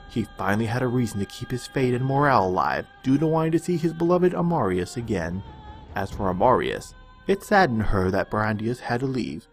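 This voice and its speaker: Man narrating a fantasy tale over music. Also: lowish voice. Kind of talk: scripted fantasy storybook narration.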